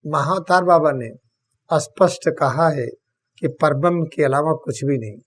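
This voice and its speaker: A man with an Indian accent leads a guided meditation, speaking solemnly and deliberately in short phrases with long pauses. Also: a little high, slight background noise. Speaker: spiritual teacher leading guided meditation.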